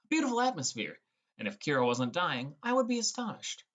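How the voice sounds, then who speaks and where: A man's voice: on the high side, very animated, fairly quick, fairly bright tone. Young man narrating a fantasy audiobook. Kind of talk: scripted first-person fantasy narration.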